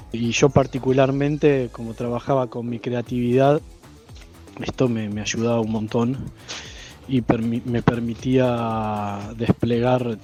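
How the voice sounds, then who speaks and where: Mid-pitched Argentine man over soft music. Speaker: radio writer and performer. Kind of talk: reflective recollection. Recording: music under the voice.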